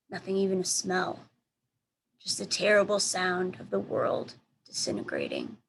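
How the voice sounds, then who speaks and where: A woman teacher reading a children's storybook aloud in expressive narration. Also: medium pitch. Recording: clear background noise.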